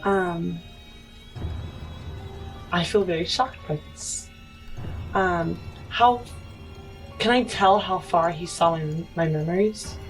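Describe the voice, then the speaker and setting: Woman doing rpg roleplay, really slow n hesitant, bit of music behind her. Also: on the low side, low-quality recording. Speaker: tabletop game player. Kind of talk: in-character improvised tabletop role-play.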